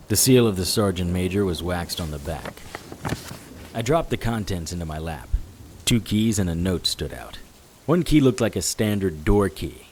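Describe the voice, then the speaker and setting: An actor playing a hard-boiled investigator, narrating a noir audio drama in a dry, wry, tough and vengeful way. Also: male voice, low-pitched, darkish tone. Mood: terse, weary. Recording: hiss with rain sounds behind. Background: rain.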